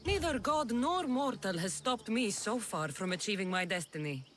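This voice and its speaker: A woman's voice: mid-range voice, expressive, normal speed, slight background noise, close to an everyday voice. A woman playing a tough, confident mercenary of ancient Greece in a video game cutscene, speaking bluntly. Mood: businesslike.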